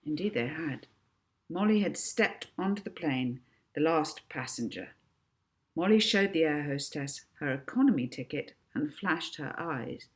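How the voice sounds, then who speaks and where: A woman reads a children's story aloud with expression. Her voice is low, and she takes the narration slowly, as an author reading her own work. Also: animated.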